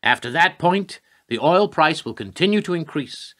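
Radio drama: male actor as a grim, insistent government adviser, lively pitch movement, hard-edged voice, lecturing urgently. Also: moderate pace. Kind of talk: radio play acting.

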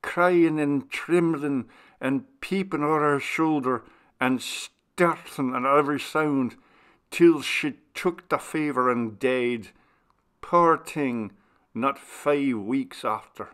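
Slow-spoken old servant woman storyteller, read by a man. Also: mid-range voice, a bit bright, clear, resonant tone. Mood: grim, pitying. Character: old Irish servant woman, a sorrowful, superstitious ghost storyteller. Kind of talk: literary dialogue storytelling.

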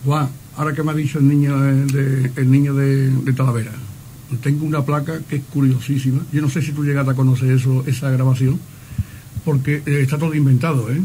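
A man's voice: mid-range voice, little pitch movement, a little fast, warm tone, low-quality recording. A man interviewing informally on the radio. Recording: faint noise, archive radio interview.

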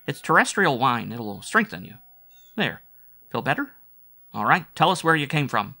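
A man voicing a shrewd, commanding Japanese spaceman in a story reading, brisk, grim and coolly menacing. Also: medium pitch, sing-song, gravelly, sharp tone, pitched above the performer's own voice, full character voice. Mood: brisk questioning. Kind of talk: audiobook character voice.

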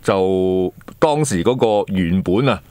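A man's voice: deep voice, expressive, takes it slowly, warm tone, clean tone, no echo. A man co-hosting a radio chat show in a small studio, explaining things with a Hong Kong Cantonese accent. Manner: explanatory, recalls old radio practice. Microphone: boom mic on a stand.

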